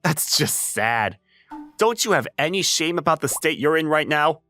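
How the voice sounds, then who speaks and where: A man voicing an unbothered, sardonic high school underdog in an anime-style comic dub, with a dry, mocking delivery. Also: expressive, moderate pace, pushed and bright, no echo, soft background music. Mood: scolding.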